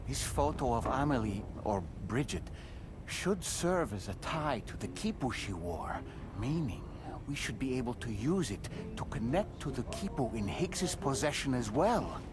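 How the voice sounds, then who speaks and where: An actor playing a grave, earnest male ally in a video game cutscene, speaking in a measured, articulate, solemn way. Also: mid-range voice, big pitch swings, music in the background. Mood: measured, thoughtful.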